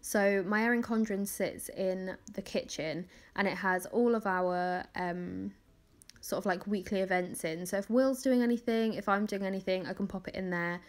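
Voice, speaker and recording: A young woman in her twenties talks casually through her planning session in a voice-over. Her voice is mid-pitched and a little crackly from the early hour. She rambles comfortably, with plenty of ums and small laughs. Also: normal speed. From England. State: crackly morning voice. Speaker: planner vlogger.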